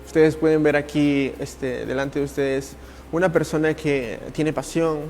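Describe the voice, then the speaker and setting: A man from Italy speaking as a ministry coach in a podcast interview, earnest and passionate, with halting, repetitive phrasing. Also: fairly high pitch, steady tone, a little mellow, soft background music.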